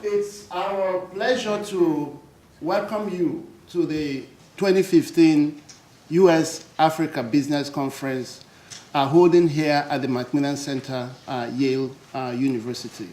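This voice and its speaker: A man with a slightly high voice welcomes a conference crowd. He is jovial and pauses often on "uh". The hall around him is roomy and echoing. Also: darkish tone. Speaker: conference speaker. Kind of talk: welcoming address. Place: large lecture hall. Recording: echoey hall.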